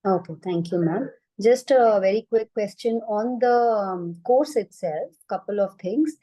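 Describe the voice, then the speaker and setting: A woman's voice: mid-range voice, a little slow, mellow, poor audio. A woman from India politely asking questions as a participant in a webinar over a video call.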